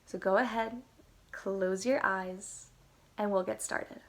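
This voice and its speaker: Woman, meditation guide, slow pace, mid-pitched voice, soothing instructional delivery. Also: fairly even intonation.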